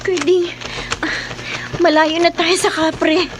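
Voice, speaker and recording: A woman's voice: very high voice, little pitch movement, slow, background noise. A woman playing an anxious, caring young woman in a horror radio drama, plaintive and worried.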